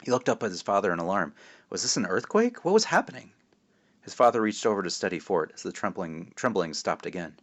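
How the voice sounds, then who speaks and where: A man's voice: low-pitched, big pitch swings, fairly quick. A man who writes children's books, speaking casually and narrating his own story aloud. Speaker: children's author reading his own book.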